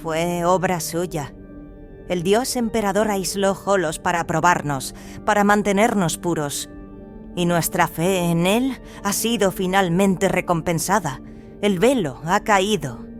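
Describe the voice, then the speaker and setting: A woman playing a serene, fervent religious zealot in an audio drama, speaking calmly and solemnly. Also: fairly low pitch, unhurried, soft background music, natural voice. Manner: calm, devout, solemn. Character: serene, fervent cloned female zealot from an isolated world. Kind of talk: dramatized audio fiction dialogue in Spanish.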